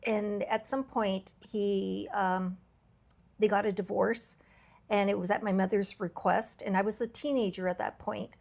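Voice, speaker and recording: A woman hosting a podcast, her voice a little raspy from travel, talking in an earnest, reflective way with frequent fillers and restarts. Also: medium pitch, steady tone, measured pace, darkish tone. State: slightly raspy voice from travel fatigue. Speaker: podcast host. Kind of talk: candid podcast conversation.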